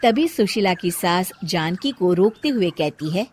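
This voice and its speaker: A woman narrating a scripted cartoon moral story in an expressive storyteller's style. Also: fairly low pitch, unhurried, breathy edge.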